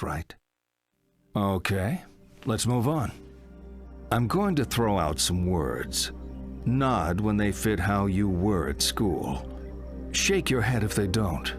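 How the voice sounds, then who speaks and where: A blunt, wry male therapist in a video game, probing as he goes. He speaks slowly in a fairly low voice with very lively intonation, over clear background music. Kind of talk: video game cutscene acting.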